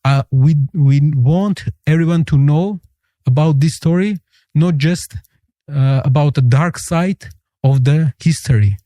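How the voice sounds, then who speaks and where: A man with an Armenian accent, a guest answering interview questions in earnest, halting English. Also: fairly high pitch, dark tone, no room sound, clean studio sound. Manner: earnest, halting, searching for words.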